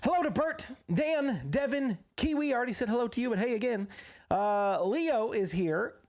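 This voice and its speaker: A live game streamer in casual chat with viewers, a bit hoarse from recovering from illness, upbeat and greeting people by name one after another. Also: mid-range voice, lively intonation, moderate pace, a little mellow.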